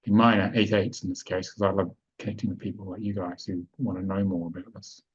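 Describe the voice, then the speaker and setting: A man's voice: sits low, flat delivery, fast, slightly rough. A man presenting a spiritual webinar, explaining step by step and often checking that his audience follows. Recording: dull lo-fi online call audio.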